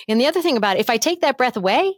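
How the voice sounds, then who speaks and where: A middle-aged woman voice coach chatting as a guest on a remote interview, animated and playful, demonstrating voice techniques. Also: very fast, no echo, clean studio sound. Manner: animated and playful, demonstrates pitch changes with her own voice. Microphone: headphones with mic, remote call.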